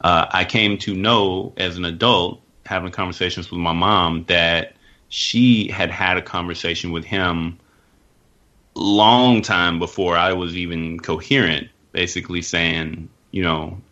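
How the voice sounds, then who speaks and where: A man's voice: deep voice, fairly even intonation, measured pace, sharp tone, muffled recording. A man sharing personal thoughts in a casual podcast discussion.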